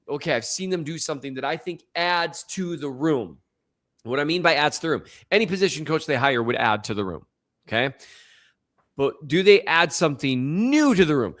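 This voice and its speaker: A man's voice: a little high, a bit bright. A man with a slight Minnesota accent co-hosting a sports podcast, chatting casually in a rambling, self-deprecating way. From upper Midwest and Missouri. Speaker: podcast co-host.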